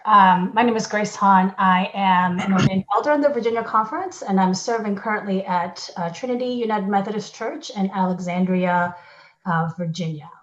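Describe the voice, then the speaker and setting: A woman pastor speaks at a middle pitch in a reflective, unhurried way, often pausing and restarting. The recording is roomy and a little dull, as if captured in an echoing room. She talks casually about her own path. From born in the Philippines, raised in Korea and Ohio. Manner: thoughtful, frequent fillers and restarts.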